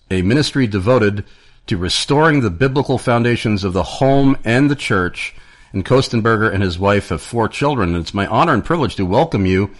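A man hosting a radio interview show, talking in a plain, measured way with frequent fillers. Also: on the low side.

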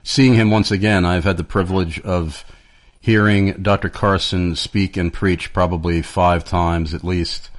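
A man hosting a radio interview show, talking in a plain, measured way with frequent fillers. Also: deep voice, steady tone.

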